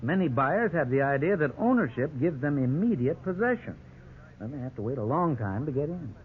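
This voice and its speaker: An actor playing a frank, authoritative lawyer in an old radio drama, formal and instructive. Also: male voice, on the high side, very animated, brisk. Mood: explanatory. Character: measured, frank, authoritative male lawyer advising clients. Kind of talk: 1950s radio drama acting. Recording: tinny, noisy old radio broadcast.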